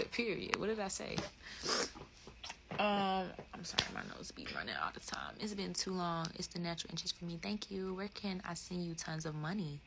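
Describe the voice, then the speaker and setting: A woman in her twenties with an American accent, chatting casually and playfully on a livestream in animated slang. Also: low voice, gravelly, background noise. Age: 20s. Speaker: livestream host. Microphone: phone at close range.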